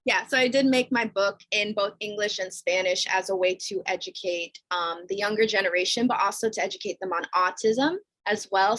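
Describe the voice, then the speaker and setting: A woman's voice: medium pitch, a bit bright, poor audio. A young woman from New York City, chatty and informal, introducing herself as a guest author on a panel. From New York City, United States. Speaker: guest author and educational mentor.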